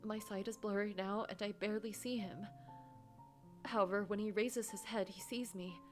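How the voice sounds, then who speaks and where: An anxious office worker played by a woman narrates a story game with wounded drama. The delivery halts and dwells on guilt and self-loathing, with music softly behind. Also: medium pitch, steady tone, normal speed, close to an everyday voice. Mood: anxious self-blame.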